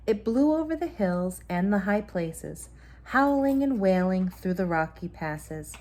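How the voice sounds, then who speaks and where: A woman's voice: medium pitch, darkish tone. A woman in her thirties reading a children's picture book aloud at home, with dramatic emphasis on the sound words. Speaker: mother reading a bedtime story. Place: room at home, indoors. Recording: noisy room, home recording.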